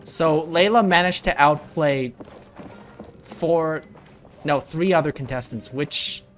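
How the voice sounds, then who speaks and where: A reaction video host giving casual, halting commentary and correcting themselves as they go. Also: mid-range voice, soft background music.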